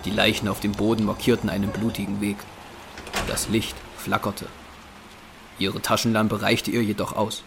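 A man narrating a scripted horror audio drama in German, with measured storytelling delivery. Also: lowish voice, flat delivery, creaky, noisy background. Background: music.

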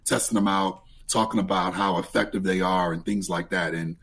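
A young man with a low, raspy voice talks as a guest in a relaxed, easygoing way. His pitch leaps up sharply whenever he gets excited. He sounds like he is on a headset mic during a video call. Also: normal speed. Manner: relaxed storytelling, lively pitch jumps when excited. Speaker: guest, streamer. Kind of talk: casual interview chat.

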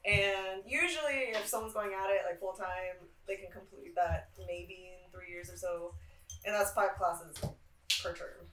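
A woman's voice: mid-range voice, measured pace, fairly bright tone, slight background noise. Giggly young woman in a roomy space. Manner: giggly, rambling with frequent laughs. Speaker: art student guest. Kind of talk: casual interview chat. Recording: roomy sound from a distant mic.

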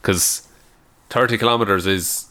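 A man's voice: fairly low pitch, measured pace, bright, forceful tone. A man co-hosting a podcast with casual comic banter, playfully riffing and chuckling as he talks. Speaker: podcast co-host.

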